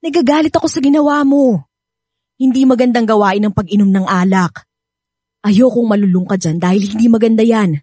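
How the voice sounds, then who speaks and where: A woman with a Filipino accent acting a caring, gently scolding nanny in a radio drama, motherly and pleading. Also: on the high side, fairly quick, no echo, studio quality, lightly acted. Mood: admonishing. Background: background music.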